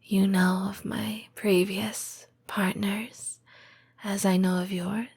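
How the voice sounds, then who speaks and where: Woman doing a soft snake-girl girlfriend for comfort audio, super breathy whispers, really slow and soothing. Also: mid-range voice, little pitch movement, full character voice. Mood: tender, reflective. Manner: slow, measured, formal phrasing with deliberate pauses. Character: tender, patient lamia girlfriend, soothing and devoted. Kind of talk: intimate comfort roleplay audio, scripted monologue.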